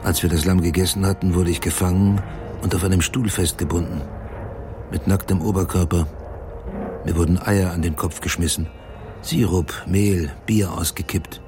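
A man's voice: deep voice, fairly even intonation, moderate pace, close to an everyday voice. An actor playing a troubled man who recounts events in terse fragments in a radio drama, with music underneath. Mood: grim recounting. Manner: terse, fragmentary first-person narration. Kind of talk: German radio drama monologue.

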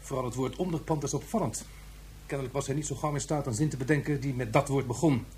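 A man's voice: medium pitch, fast talker, darkish tone, slight background noise, lightly acted. An actor playing a stubborn, earnest male professor in a science fiction radio drama, arguing precisely and insistently, with some pedantry. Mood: earnest pleading.

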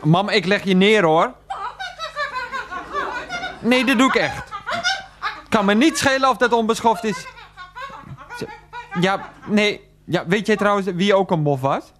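A man playing a grown son in a radio play, talking on the phone to his mother in a halting, protesting, placating way. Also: high-pitched, noisy background. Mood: indignant. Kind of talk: 1990s Dutch radio play, one-sided phone call.

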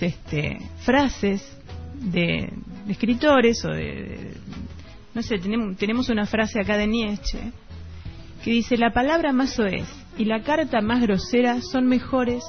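A woman with an Argentine Rioplatense accent co-hosting a literary radio programme. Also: fairly high pitch. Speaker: radio co-host. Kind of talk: literary radio talk with poetic narration and read quotes. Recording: dull lo-fi radio recording. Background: background music.

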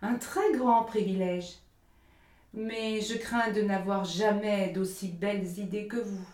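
A woman's voice: medium pitch, fairly even intonation, takes it slowly, darkish tone, pitched above the performer's own voice, close to an everyday voice. A woman voicing little Hans, a humble, timid, good-natured man, in a storybook reading. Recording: roomy echo.

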